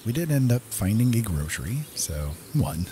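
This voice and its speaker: A man's voice: lowish voice, big pitch swings, moderate pace, warm tone, a little hiss or hum. A man giving casual gameplay commentary as the host of a gaming video, talking directly to viewers.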